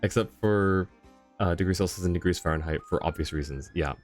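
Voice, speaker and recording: A man's voice: deep voice, a little fast. A man giving casual, wry livestream commentary on a video game in a conversational, chuckling way. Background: faint game music.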